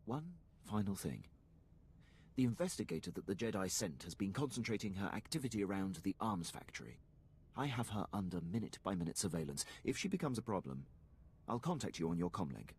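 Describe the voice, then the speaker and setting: An obsequious Imperial officer in a video game. The voice is deep and husky, formal and precise, with lively, eager inflection. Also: male voice, fairly quick, heavily acted. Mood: dutiful. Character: eager, deferential male Imperial military officer.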